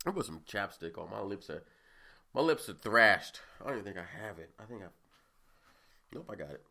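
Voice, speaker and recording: A man's voice: fairly low pitch, big pitch swings, a little fast, creaky, bright, forceful tone. A man in his twenties hosting a casual solo podcast, congested and sniffling, chatting loosely with rambling asides. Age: 20s. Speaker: podcast host.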